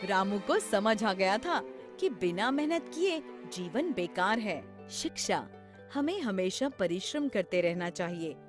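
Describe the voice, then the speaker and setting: A woman's voice: medium pitch, very animated, takes it slowly. A woman narrating a scripted children's story. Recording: music behind the voice. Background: background music.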